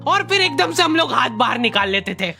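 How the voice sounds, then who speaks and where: A man narrating a comic first-person cartoon story in an exaggerated way, his voice sounding artificially pitched up. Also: sing-song, fairly quick, creaky. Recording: faint background music.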